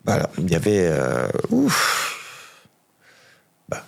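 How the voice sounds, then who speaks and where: A man's voice: sits low, huge pitch swings, takes it slowly, gravelly, a bit bright. A middle-aged man, a musician, answering interview questions in a laid-back way with many fillers. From France. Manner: laid-back, lots of fillers and agreeing "ouais". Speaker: musician guest. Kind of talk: relaxed conversational interview.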